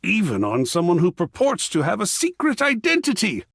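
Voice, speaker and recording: Flamboyant, swooping, gravelly art curator. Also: male voice, high-pitched, huge pitch swings, normal speed, no room sound, clean studio sound, heavily acted. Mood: delighted, amused. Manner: flamboyant, florid, musing, savoring words. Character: excitable, effusive male art gallery curator, philosophical and kindly. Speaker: video game character voice actor. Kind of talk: theatrical game character dialogue.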